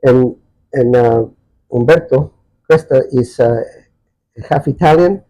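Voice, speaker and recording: A man in his sixties from Chile, a Spanish speaker, telling personal stories haltingly as he searches for words. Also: mid-range voice, warm tone, low-quality recording. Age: 60s. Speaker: podcast guest. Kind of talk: personal storytelling in a casual interview.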